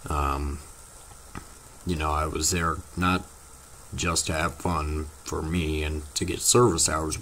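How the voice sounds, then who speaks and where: A teenage boy, slightly hoarse from losing his voice, chatting casually to his viewers in a rambling, halting way full of fillers. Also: a bass voice, a bit bright, slight background noise. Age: teens. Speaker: vlogger. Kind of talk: casual vlog chat to viewers.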